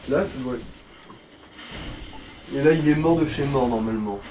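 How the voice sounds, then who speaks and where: A man's voice: medium pitch, measured pace, a little mellow, far from the mic, poor audio. A man giving casual, slangy let's play commentary as he guides the player. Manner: colloquial, slangy. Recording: background noise behind the voice.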